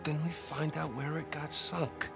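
A man's voice: fairly high pitch, flat delivery, measured pace, vocal fry. An American man voicing a stubborn, restless male treasure hunter in a video game cutscene, urgent and persuasive. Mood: insistent, excited. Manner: urgent, insistent, stammering when pushed. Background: music.

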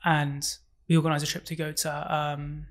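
A man's voice: a little high, monotone, normal speed, fairly bright tone. A man, speaking openly as a podcast guest in a small studio, on a desk mic. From England. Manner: open, earnest, restarts and fillers. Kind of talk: casual interview. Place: small studio room, indoors. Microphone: desk microphone on stand.

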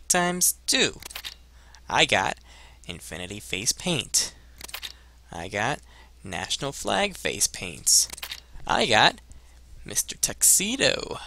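A man's voice: mid-range voice, bright, forceful tone. A man in his twenties giving casual let's play commentary and reading game screens aloud on a headset mic. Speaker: gaming video host.

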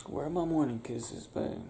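A young man in a soft, breathy, sleepy murmur, often near a whisper, speaking intimately in an ASMR roleplay as a partner just waking up. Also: fairly low pitch, background noise. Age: young adult. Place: small indoor bedroom, lying on a bed.

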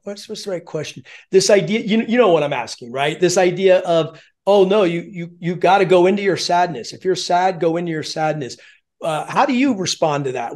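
A man's voice: on the high side, darkish tone. A man in his fifties hosting an interview over a video call, affirming his guest eagerly and asking long, rambling questions. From born in Germany. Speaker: podcast host. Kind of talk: casual interview chat. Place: home office with shelves of sports helmets.

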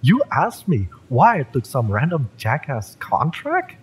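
A man playing a fiery, wounded mercenary in a romance audio roleplay, bitter but lovesick, speaking emotionally and haltingly with sighs and drops into whispers. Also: mid-range voice, wildly swooping pitch, slight background noise. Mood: angry, confrontational.

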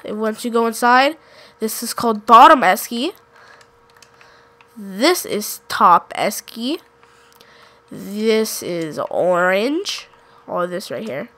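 A woman's voice: mid-range voice, pushed and bright. Congested woman giving rambling game callouts. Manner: rambling, self-correcting, unsure. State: congested from a cold. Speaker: gaming YouTuber. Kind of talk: casual gameplay commentary.

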